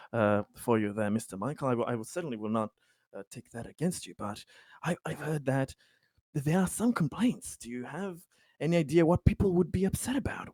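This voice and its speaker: A man playing a placating yet firm bureaucratic official in improvised tabletop roleplay, speaking haltingly and officiously with long pauses. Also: a little high, a little mellow, dry recording, studio quality.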